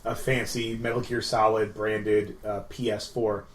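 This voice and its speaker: A man's voice: on the low side, flat delivery, unhurried, echoey room. A man co-hosting a podcast, chatting casually about video games in a rambling, filler-heavy way. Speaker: podcast co-host. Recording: noisy room with ambient sound.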